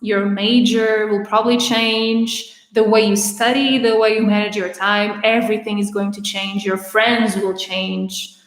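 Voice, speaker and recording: A raspy-voiced woman in her twenties chats through viewer questions as a livestream host, restarting her sentences now and then. Her voice sounds a little strained, and it rings out in a roomy space with a long reverb tail. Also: mid-range voice, flat delivery, a little slow. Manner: chatty, with frequent restarts and laughter. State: having trouble speaking. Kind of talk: casual Q&A livestream.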